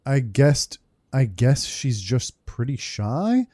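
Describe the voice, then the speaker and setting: A man in his thirties giving casual, low-key playthrough commentary and reading dialogue choices into a close mic at home. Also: sing-song, slow pace, warm tone, slight background noise. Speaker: gaming video host. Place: home room, indoors. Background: game music.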